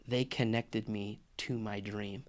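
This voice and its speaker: A man's voice: on the low side, fairly even intonation, slow pace. A middle-aged man, a motivational speaker guesting on a podcast, speaking earnestly and reflectively. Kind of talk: interview conversation.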